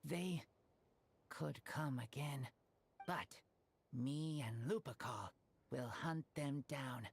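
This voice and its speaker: An earnest, feral young boy raised by wolves, a game character. The voice is very husky and rough, with slow, clipped phrases. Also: mid-range voice, heavily acted. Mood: grim resolve. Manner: halting, clipped short phrases. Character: earnest feral wolf-raised boy, halting simple speech. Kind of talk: video game character acting.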